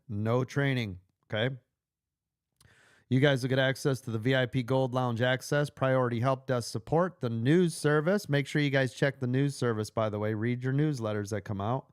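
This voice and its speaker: Hoarse-voiced man pitching memberships live. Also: no room sound, clean studio sound. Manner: upbeat, chatty, addresses viewers as "you guys". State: losing his voice. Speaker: livestream host and trading coach. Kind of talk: casual livestream sales pitch.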